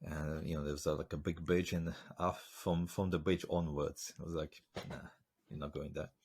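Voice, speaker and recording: A man with a very deep, low voice. He hosts a podcast interview and tells stories in a relaxed, rambling way, full of fillers and restarts. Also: moderate pace, husky. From Slovakia. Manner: rambling, storytelling with many fillers. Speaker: podcast host. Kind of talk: casual interview chat.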